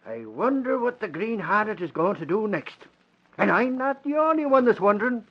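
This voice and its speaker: An actor playing an excitable, boastful, folksy sidekick in an old-time radio drama. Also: male voice, sits high, moderate pace, full character voice. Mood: eager. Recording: dull old radio recording.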